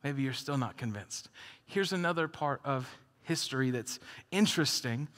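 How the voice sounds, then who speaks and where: A man's voice: on the high side, a bit bright. A man preaching a conversational sermon as a pastor, joking and laughing between points. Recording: long hall reverb.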